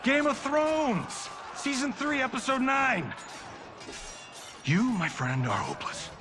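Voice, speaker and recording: An actor playing a cocky, joking Hollywood star turned fighter in a video game cutscene, with flippant, slangy banter. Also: male voice, very high voice, very animated, takes it slowly, background noise, exaggerated voice. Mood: exasperated. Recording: music behind the voice.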